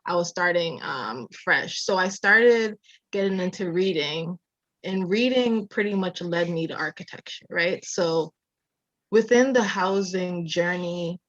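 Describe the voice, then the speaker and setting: Soft-spoken woman on a dull, dry line. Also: fairly low pitch, measured pace, no room sound. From Jamaica, raised in Massachusetts. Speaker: architecture designer, guest. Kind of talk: casual interview storytelling.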